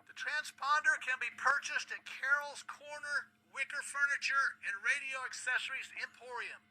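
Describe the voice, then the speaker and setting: Very high, bright, brisk station colleague. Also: male voice, fairly even intonation, full character voice, low-quality recording. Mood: brisk, impatient.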